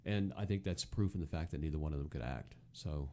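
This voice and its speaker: A man hosting a casual talk show, giving commentary in a halting way, often circling back to rephrase. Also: rumbling low, heavy background noise.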